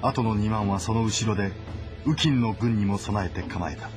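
A male narrator reads a historical game story in a solemn, measured manner. His voice is fairly low, with music clearly audible behind it.

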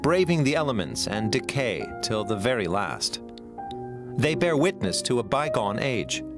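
A man giving scripted documentary narration in a measured, even voice, recorded as a studio voice-over. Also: medium pitch. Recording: studio voice-over with music behind.

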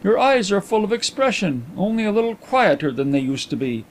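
A man voicing a proud, excitable gentleman in an audiobook, speaking in stiff, emphatic exclamations. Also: high-pitched, brisk, pitched above the performer's own voice. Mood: sympathetic, amazed. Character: excitable, proud, touchy gentleman visitor, agitated and bitter. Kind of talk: audiobook character acting.

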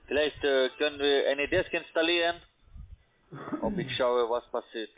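A male call center agent with a foreign accent, heard over a thin phone line. His voice is medium in pitch, and he gives step-by-step instructions in halting, broken sentences. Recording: tinny narrowband phone line.

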